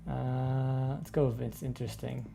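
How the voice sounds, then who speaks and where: Very low, gravelly-voiced woman. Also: flat delivery, dark tone, soft background music. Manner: slow, thoughtful commentary. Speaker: game player. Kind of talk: casual chat.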